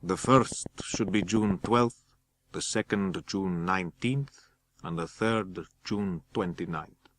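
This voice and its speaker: A man voicing a menacing, imperious vampire count in a dramatic audiobook, speaking slowly and forcefully. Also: deep voice. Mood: cold dictating. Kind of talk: dramatic audiobook character reading.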